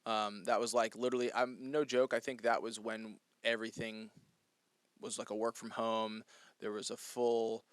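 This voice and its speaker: A man's voice: on the low side, level tone, normal speed, clear, resonant tone, dry recording. A man from New Jersey hosting a casual interview podcast, talking loosely with plenty of "like" fillers. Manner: chatty, lots of "like" fillers. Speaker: podcast host.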